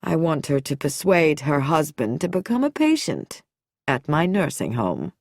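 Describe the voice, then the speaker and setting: Lady reader doing a creepy watchful doctor man, slow n formal, audiobook villain. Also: low voice, very animated, no room sound, studio quality, exaggerated voice. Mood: coolly sympathetic. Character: watchful, cunning male doctor, smoothly sinister.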